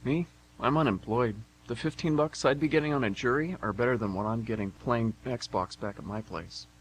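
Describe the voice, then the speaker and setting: A man's voice: mid-range voice, moderate pace, close to an everyday voice, poor audio. A man playing a cocky, flippant young unemployed slacker in an amateur radio play, with a sardonic delivery. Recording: amateur radio, faint background hum.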